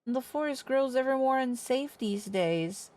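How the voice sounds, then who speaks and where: A woman acts out a fretful older sister from a fantasy game, exasperated by a proud younger brother. The voice sits fairly high and moves at a measured pace, with formal, archaic wording. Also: lively intonation, a little mellow. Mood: worried, pleading. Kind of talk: reading game quest dialogue aloud in character.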